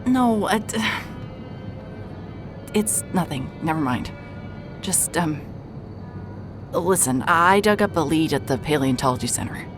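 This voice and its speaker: An actress playing a hard-hearted, sleep-deprived woman private investigator in a horror audio drama, speaking in a weary, halting way. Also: low-pitched, expressive. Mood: halting, flustered. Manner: weary, halting, stammering under stress. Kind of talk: noir-like first-person audio drama narration. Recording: music behind the voice.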